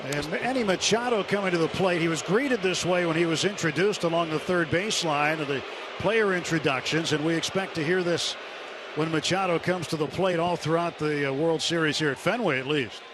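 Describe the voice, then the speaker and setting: A man's voice: on the high side, fairly quick. An American man calling a live baseball game as a radio play-by-play announcer. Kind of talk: live sports commentary. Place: stadium broadcast booth. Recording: noisy ballpark radio broadcast.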